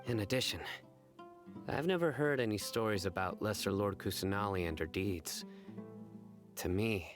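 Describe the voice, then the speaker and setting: Man playing a stern, low-voiced investigator. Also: close to an everyday voice. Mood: reflective. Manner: serious, formal, deliberate. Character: stern, measured male investigator, young adult, gravely serious. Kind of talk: video game voice acting. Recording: plucked-string music behind the voice.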